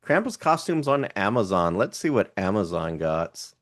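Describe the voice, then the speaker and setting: A man's voice: on the low side, big pitch swings, normal speed, dry recording, clean studio sound. A man co-hosting a casual podcast, chatting, joking and reading prices aloud as he browses online shops. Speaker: podcast co-host.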